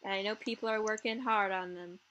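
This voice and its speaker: Loud bubbly young woman streamer. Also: mid-range voice, steady tone, fairly quick, lo-fi sound, a little hiss or hum. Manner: laughs often, loud and bubbly. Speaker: livestream co-host. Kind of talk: casual livestream chat.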